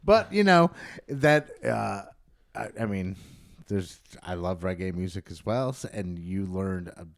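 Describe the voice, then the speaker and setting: A man chatting casually as a podcast host, in a rambling, halting, sweary way. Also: sits low, expressive, gravelly. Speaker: podcast host and band bass player. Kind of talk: casual chat.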